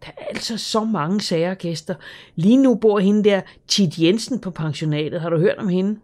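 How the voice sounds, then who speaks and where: Narrator putting on a chatty eager girl, higher and louder. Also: close to an everyday voice. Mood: eager gossip. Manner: eager, gossipy, quick. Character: chatty bold girl working at a guesthouse.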